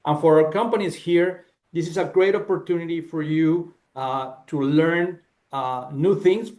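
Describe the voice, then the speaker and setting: Halting man. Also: fairly high pitch, darkish tone. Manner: halting, searching for words. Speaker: host of the session. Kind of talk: formal welcome remarks.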